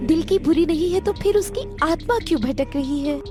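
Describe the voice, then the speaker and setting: A woman playing an excitable, complaining village housewife in an animated moral story, with exaggerated delivery. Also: very high voice, normal speed, soft background music. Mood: anxious gossip. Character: gossiping, complaining village housewives, easily frightened.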